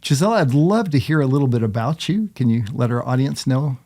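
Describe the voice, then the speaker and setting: A woman's voice: rumbling low, lively intonation, brisk, warm tone. A woman hosting an interview, asking questions and giving short affirmations. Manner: brief prompting replies and affirmations. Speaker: host.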